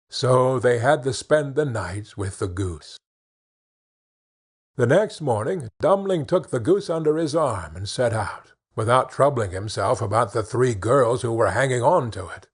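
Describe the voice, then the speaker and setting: A man narrating a children's fairy tale in an expressive storytelling manner, with measured phrasing. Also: mid-range voice, breathy edge. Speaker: audiobook narrator.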